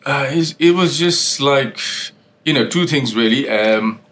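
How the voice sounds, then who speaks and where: A man's voice: medium pitch, a little slow, a bit bright. A man in his forties from Nepal, a restaurant owner and musician, telling his story in a loose, rambling chat full of fillers. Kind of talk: casual interview chat. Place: London.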